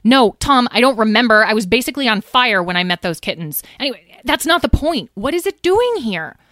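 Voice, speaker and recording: Woman as young heroine, bold, exasperated, protective, higher voice, children's audio story, dry close recording. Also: big pitch swings, fairly quick, fairly bright tone, no room sound. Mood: exasperated, scolding. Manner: forthright, exclamatory.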